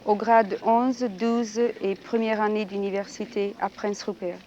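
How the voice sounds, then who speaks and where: A woman's voice: medium pitch, flat delivery, a little slow, dark tone, dull audio, slight background noise. A woman from France, a secondary school teacher, giving brief, plain answers in an interview. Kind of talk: archival radio interview answers.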